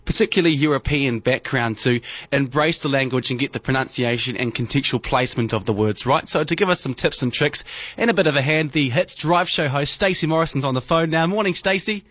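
A man's voice: mid-range voice, fairly quick, a bit bright, no room sound. A man with a New Zealand accent, a breakfast radio host, running a friendly, upbeat interview from a studio.

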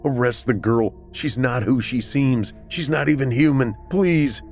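A man's voice: mid-range voice, steady tone, drawn-out words, gravelly, darkish tone, faint music behind, pitched above the performer's own voice, exaggerated voice. A man voicing a desperate, hunted man in a horror story, pleading urgently in a strained voice.

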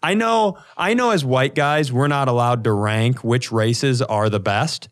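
A man's voice: medium pitch, measured pace. A man co-hosting a comedy podcast, telling casual stories in a rambling, profane way with comic exaggeration.

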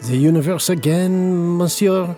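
An actor playing a café waiter who fakes a French accent, overly polite and stammering. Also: male voice, high-pitched, measured pace, warm tone, soft background music. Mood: fawning. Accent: put-on French accent. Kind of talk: comedic audio drama.

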